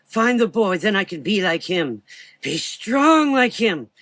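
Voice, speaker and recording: A man plays a deranged young coachman in a gothic horror audio drama. He is frantic and obsessive, his voice high and tense, in a dry recording. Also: big pitch swings, measured pace, a bit bright, no room sound, heavily acted.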